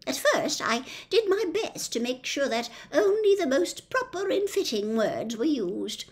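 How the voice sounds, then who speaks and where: A woman voicing a pleasant little old lady witch in a storybook, with measured, genteel phrasing and a small voice. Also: sits high, sing-song, pitched above the performer's own voice. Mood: proud, reminiscing.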